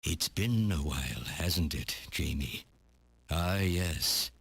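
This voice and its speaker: Extremely deep, gravelly villain. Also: male voice, animated, slow pace, a bit bright, no echo, exaggerated voice. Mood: mocking, menacing. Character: sinister, mocking male villain, coolly unhurried. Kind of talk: dramatic video game voice acting.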